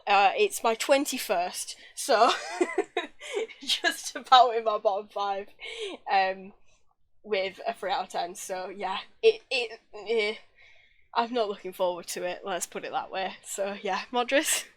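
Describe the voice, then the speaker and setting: A woman's voice: medium pitch, a little slow, a bit bright. A woman from the United Kingdom co-hosting a podcast in casual chat, laughing often as she talks. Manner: giggly, chatty, laughs often. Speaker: podcast co-host.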